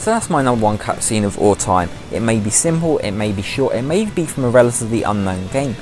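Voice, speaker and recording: A man's voice: medium pitch, expressive, fast talker, darkish tone. A man giving scripted countdown commentary in an opinionated, earnest way. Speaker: video presenter and reviewer. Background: background music.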